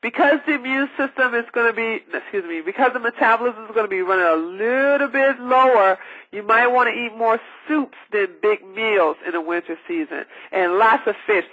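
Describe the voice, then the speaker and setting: A man's voice: sits high, pushed and bright, slightly rough, poor audio. A man hosting a call-in radio health advice show, talking in a rambling, emphatic way with lots of fillers and restarts. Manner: rambling, repetitive, with many fillers; emphatic and preachy. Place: broadcasting over a phone-based line.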